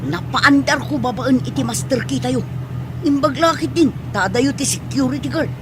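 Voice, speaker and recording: Female actor as eager thieving sidekick, very high voice, Filipino accent, radio drama, noisy car background. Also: normal speed, lightly acted. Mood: urgent, scheming.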